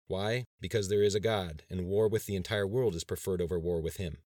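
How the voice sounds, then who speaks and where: A man's voice: sits low, steady tone, brisk, a little mellow, dry recording, studio quality, lightly acted. A man reading the part of a resolute, pious male governor in an audiobook, with firm, solemn oratory. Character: resolute, pious male state governor rallying his cabinet. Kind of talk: dramatized audiobook dialogue.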